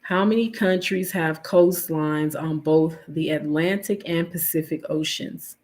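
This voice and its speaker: A woman's voice: low voice, measured pace, darkish tone. A woman, nasal and sniffly from allergies, casually chatting with viewers on her stream. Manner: chatty, reads viewer names, trailing fillers. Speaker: livestream host.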